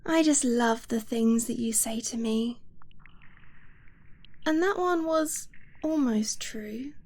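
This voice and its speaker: A woman acts out a tender dragon-blooded girlfriend in a romantic ASMR roleplay. Her voice is high, soft and breathy, often near a whisper. She speaks extremely slowly, with a gentle, nervous hesitancy and close affection. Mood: tender, playful. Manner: slow, gentle, hesitant, intimate.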